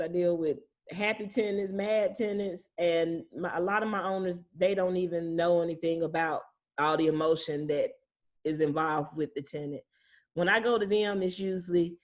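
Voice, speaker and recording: Lower-voiced woman, plain-spoken property manager. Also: darkish tone. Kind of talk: conversational expert explanation with anecdotes.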